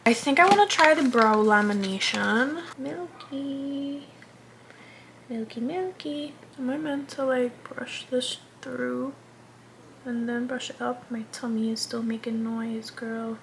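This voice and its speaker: A woman's voice: a little high, slow, vocal fry, fairly bright tone, slight background noise, low-quality recording. A woman in her twenties chatting casually while doing her makeup, rambling and interrupting herself, sometimes dropping into a whisper. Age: 20s. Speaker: vlogger.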